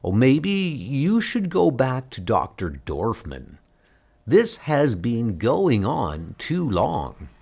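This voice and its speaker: A worried, worn-out dad, voiced by a man in a comic dub. He talks slowly, with exasperation and reproach that rise and fall in pitch. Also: wildly swooping pitch, gravelly, a little mellow, breathy edge, exaggerated voice. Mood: worried, reproachful. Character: weary, worried father of a troubled teen. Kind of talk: comic book dub acting.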